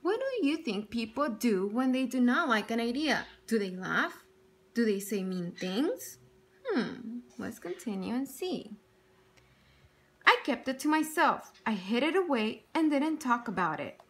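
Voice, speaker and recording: A woman's voice: a little high, measured pace. A woman teacher reading a picture book aloud to children, telling it expressively and stopping to ask them questions. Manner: expressive storytelling with questions for young listeners.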